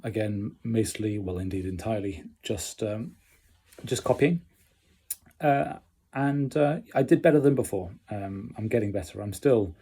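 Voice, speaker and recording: A man talking casually and unscripted as in a personal video diary, halting and reflective with long pauses, in a husky voice. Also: lowish voice, dark tone. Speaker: amateur radio learner recording a progress diary.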